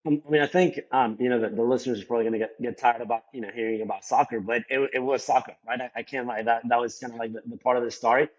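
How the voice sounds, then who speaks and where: A man's voice: medium pitch, fairly even intonation, rapid-fire, hardly any pauses. A young man from Colombia, a native Spanish speaker with a Colombian accent, answering as a podcast guest in a loose, rambling way full of "like" and "you know.". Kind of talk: casual interview talk. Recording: slightly dull lo-fi sound.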